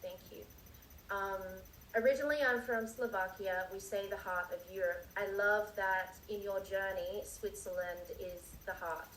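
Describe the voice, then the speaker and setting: A woman's voice: mid-range voice, fairly even intonation, moderate pace, fairly bright tone, a little hiss or hum. A woman moderating a Q&A, reading audience questions aloud and adding short murmurs of agreement. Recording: echoey room, distant mic, faint crickets.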